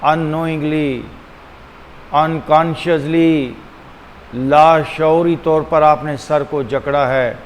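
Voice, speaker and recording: A man with a slightly high voice leads a guided meditation at a very slow pace. He gives instructions deliberately, repeating phrases and leaving pauses between them. The recording carries a long, hall-like reverb. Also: fairly even intonation. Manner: deliberate, repetitive, with frequent pauses; switches between Hindi-Urdu and English. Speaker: yoga and meditation teacher. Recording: long hall reverb, faint background hum.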